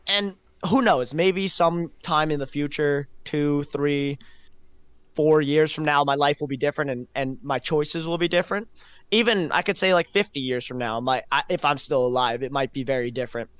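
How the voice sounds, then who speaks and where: A man's voice: fairly high pitch, moderate pace, a bit bright, clear, resonant tone, no echo, dull audio. A young man talking casually and reflectively on a podcast, feeling his way through his phrases. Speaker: podcast host.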